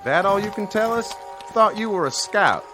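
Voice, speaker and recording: A man playing an impatient, gruff cavalry corporal with folksy frontier speech in a radio drama. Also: high voice, expressive, normal speed, music in the background.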